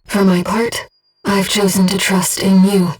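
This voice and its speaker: Gentle female mission guide, mecha video game, earnest and measured, breathy soft-edged voice, slightly distant sound. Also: medium pitch, little pitch movement. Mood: earnest, reflective. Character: soft-spoken, earnest female voice in a pilot's head, loyal guide. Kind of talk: scripted video game voice acting, tactical callouts.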